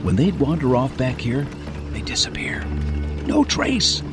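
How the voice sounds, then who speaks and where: A man acting in an audio drama as a gruff but folksy farm owner who tells things like a storyteller. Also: medium pitch, wildly swooping pitch, a little slow, loud music behind the voice. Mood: hesitant, uneasy.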